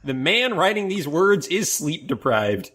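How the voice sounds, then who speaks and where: A man co-hosting a podcast in casual chat and skit reading, joking around and quick to laugh. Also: fairly high pitch, big pitch swings, a bit bright. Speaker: podcast co-host. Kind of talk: casual chat and scripted skit read live.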